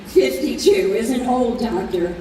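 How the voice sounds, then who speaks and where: A woman's voice: on the high side, takes it slowly, dark tone. A woman playing an earnest, protective grown daughter in an old time radio drama. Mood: worried. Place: convention hall stage. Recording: echoey hall, distant, faint noise.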